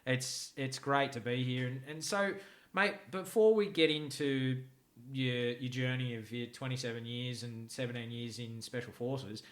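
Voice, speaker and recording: A man's voice: mid-range voice, fairly even intonation, a little slow. An Australian man hosting a podcast interview, stumbling over repeated words as he asks questions. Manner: stammering restarts when asking questions. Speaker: podcast host. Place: guest's home.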